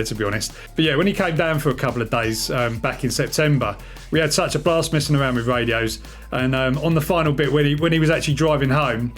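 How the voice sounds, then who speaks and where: A man talks casually as a hobbyist video host, in a mid-range voice. His delivery is relaxed and matter-of-fact, like an informal demonstration. Faint music plays behind him. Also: medium pitch.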